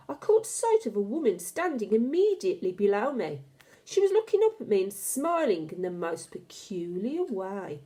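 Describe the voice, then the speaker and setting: A woman reading a children's novel aloud as an expressive, dramatic and deliberate storyteller. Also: fairly high pitch.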